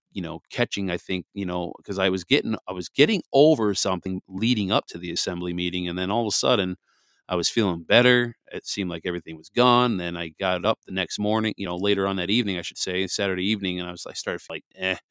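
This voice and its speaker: Male podcast host from Michigan, congested from a cold, lowish smooth voice, dry close mic, filler-heavy chat, slow deliberate readings. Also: a bit bright, dry recording. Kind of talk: scripture reading with casual commentary.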